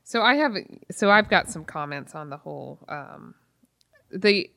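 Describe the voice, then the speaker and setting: A woman with an American accent chats as a podcast co-host in a slightly low voice. She is informal and opinionated, with a casual, mildly sweary way of talking. The recording is dry and close. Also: expressive, a little slow, gravelly, sharp tone, no echo. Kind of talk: casual book club chat.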